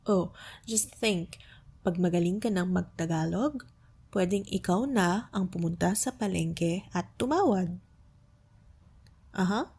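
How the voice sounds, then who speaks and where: A young woman with a Filipino accent playing an affectionate, playful girlfriend in a soft ASMR roleplay, patiently tutoring her partner. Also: on the low side, slightly rough, exaggerated voice. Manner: teasing, tender, patient, explains words slowly. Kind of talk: soft ASMR roleplay, Tagalog lesson mixed with English.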